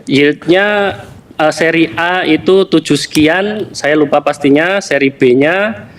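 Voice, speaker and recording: Male consultation guest, fairly high voice, hesitant, respectful answers. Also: takes it slowly. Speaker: guest seeking financial advice.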